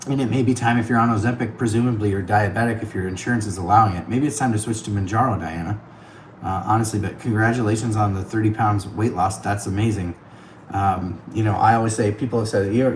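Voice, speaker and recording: A man's voice: lowish voice, reverberant, slight background noise, poor audio. Chatty man hosting a livestream. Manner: chatty, thanks viewers by name, laughs often. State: voice getting worn. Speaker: livestream host. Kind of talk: casual livestream chat with viewers. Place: on the road, streaming from an iPad. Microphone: tablet mic.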